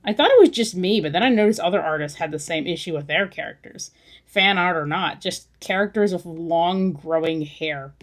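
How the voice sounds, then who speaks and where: A woman's voice: lowish voice, animated, moderate pace. A woman chatting casually as she draws on a livestream, thinking aloud in a musing way. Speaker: artist hosting a drawing livestream. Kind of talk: casual chat while drawing. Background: music.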